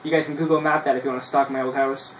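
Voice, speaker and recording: A man's voice: mid-range voice, flat delivery. Young man in a roomy, distant recording. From Canada. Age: 20s. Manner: halting when reading, self-mocking asides. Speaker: vlogger. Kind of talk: casual vlog, reading childhood writing aloud. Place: small bedroom, indoors. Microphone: camera a few feet away. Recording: roomy, distant mic with noise.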